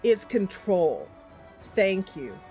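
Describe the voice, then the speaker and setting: A woman's voice: medium pitch, very animated, extremely slow, mellow, soft background music. A woman streaming a game, casually commentating live and thinking aloud as she chats with her viewers.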